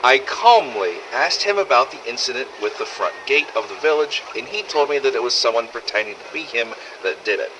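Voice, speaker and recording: A man playing a weary, sardonic, foul-mouthed survivor of a zombie apocalypse, recording expressive first-person audio logs in a game-style drama. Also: medium pitch, a little fast, hardly any pauses, background noise, close to an everyday voice. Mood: matter-of-fact. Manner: sardonic, expressive, emphatic swearing.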